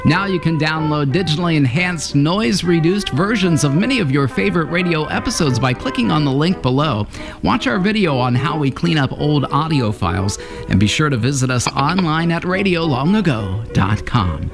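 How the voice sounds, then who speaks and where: Male announcer, slightly high voice, scripted introduction read over clear music. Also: lively intonation, normal speed. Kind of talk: scripted narration.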